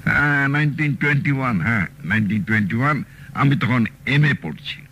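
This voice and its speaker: A man's voice: medium pitch, animated, a little fast, bright, forceful tone, husky. A man playing a chatty, teasing seventy-three-year-old know-it-all in an old radio drama, talking emphatically. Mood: storytelling. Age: 70s. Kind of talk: 1970s radio drama acting. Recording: old radio recording with music.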